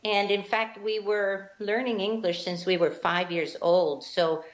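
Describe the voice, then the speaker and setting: A woman's voice: medium pitch, flat delivery, measured pace. A woman from Guatemala, telling her life story as an interview guest, earnest and reflective. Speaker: guest, spiritual teacher. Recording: dull lo-fi line.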